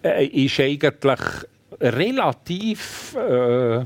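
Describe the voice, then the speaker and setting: A man's voice: on the high side, very animated, extremely slow. An older man with a Bernese Swiss German accent, a guest reminiscing and explaining old rural words in casual conversation, with many restarts and tag questions. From Switzerland. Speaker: guest, writer on dialect.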